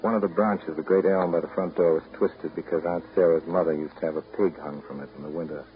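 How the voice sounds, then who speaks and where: An actor playing a reserved, brooding man in a 1930s radio drama, speaking in a measured, reflective way. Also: deep voice, fairly even intonation, soft background music, lightly acted. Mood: brooding reminiscence. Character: reserved, brooding New England man telling family memories. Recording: muffled, hissy 1930s radio recording.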